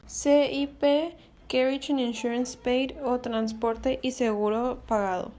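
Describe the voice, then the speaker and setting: A woman's voice: on the high side, darkish tone. A woman presenting a scripted explanation in an instructional reading style.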